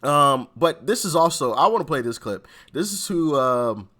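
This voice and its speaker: A man's voice: a little high, expressive, moderate pace, sharp tone. A man hosting a casual political commentary show, speaking emphatically and sarcastically and often repeating himself for effect.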